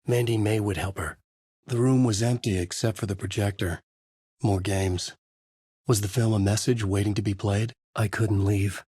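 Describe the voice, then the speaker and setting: A husky, low-voiced male writer narrates his own dark thriller like a video game noir. The delivery is grave, moody and deliberate. The sound is close and dry. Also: fairly even intonation, no room sound. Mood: brooding.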